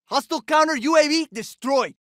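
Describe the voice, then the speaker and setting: A man's voice: extremely high pitch, brisk, fairly bright tone, dry recording, studio quality. A man playing a cocky, wisecracking soldier in a video game, delivering short, punchy combat callouts. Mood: businesslike. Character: cocky, jokey male soldier, sporty ex-jock type. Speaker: video game operator character.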